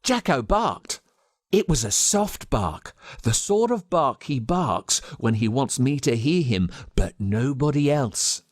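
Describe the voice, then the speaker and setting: An Australian man reading a scripted children's story as narrator, with dramatic, expressive delivery. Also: mid-range voice, slow pace, no echo, studio quality. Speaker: audiobook narrator.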